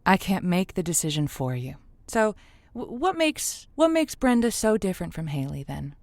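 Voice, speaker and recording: A woman's voice: lowish voice, sing-song, moderate pace, darkish tone, no room sound. Audio drama lead: an actress as a female call-in show host, theatrical and encouraging, stumbling pep talks, very dry recording. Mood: probing. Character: Josie, gushing adult female late-night radio advice host. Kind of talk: semi-improvised audio drama, radio advice show.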